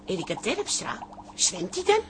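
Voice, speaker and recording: An actress playing a soft-hearted, chiding wife and daughter in a radio comedy. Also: female voice, medium pitch, wildly swooping pitch, moderate pace, background noise. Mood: chiding, fond. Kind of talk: radio comedy drama acting.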